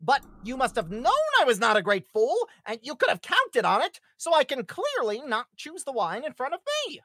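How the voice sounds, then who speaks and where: A smug, excitable schemer of a man, acted out by a woman, who blusters on at length in a script read. The voice is high and leaps wildly up and down, full of theatrical exclamations, in a dry recording. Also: moderate pace, dry recording, exaggerated voice. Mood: smug reasoning. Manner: exclamatory, theatrical, long-winded.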